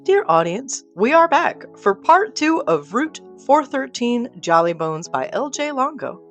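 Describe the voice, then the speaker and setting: An excitable female radio story host, acted by a woman, swings freely up and down in pitch. She is bossy and exclamatory, with theatrical flourish suited to comic audio drama. Faint music plays behind her. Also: unhurried. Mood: showy, brisk. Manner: exclamatory, melodramatic.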